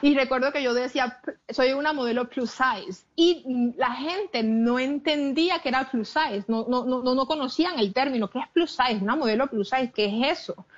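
A woman's voice: sits high, moderate pace, highs cut off. Emphatic Colombian coastal woman. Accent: Colombian Caribbean coast, mixed with English. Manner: passionate, emphatic, with frequent restarts. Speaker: plus-size model, guest. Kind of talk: interview conversation.

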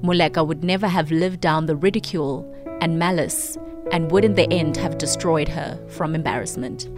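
A woman's voice: low voice, normal speed. Radio co-host woman over music. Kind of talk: casual radio banter, then reading aloud. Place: radio studio.

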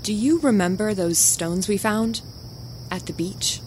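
An actress playing an anguished, fragile young ex-girlfriend in an audio drama, pleading in a hushed, halting way. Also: medium pitch, close to an everyday voice. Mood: tentative, wistful. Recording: noisy, night insect ambience behind.

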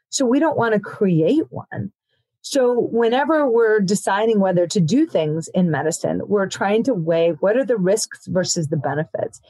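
Woman physician guest, steady explanatory delivery, mid-pitched, dry close sound. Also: dry recording, poor audio. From born in Ethiopia, raised in Africa. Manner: explanatory, steady, frequent "you know". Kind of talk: expert explaining in an interview.

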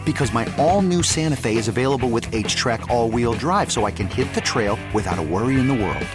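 Male actor as adventurous family guy, theatrical and playful car-ad read, very lively range, guitar music underneath. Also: mid-range voice, a little fast, lightly acted. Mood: playful, epic. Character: adventurous family man hyping a weekend escape. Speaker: commercial voice-over actor. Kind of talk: dramatic scripted car ad narration.

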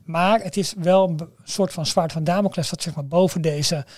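A man's voice: on the high side, steady tone, moderate pace, darkish tone, no room sound, clean studio sound. A man co-hosting a podcast, explaining things in a casual, emphatic way and often repeating himself. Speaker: podcast co-host.

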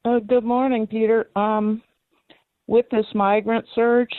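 A woman's voice: a little high, little pitch movement, slow, no room sound. A woman calling a radio show by telephone, chatting casually in a questioning way over a narrow phone line.

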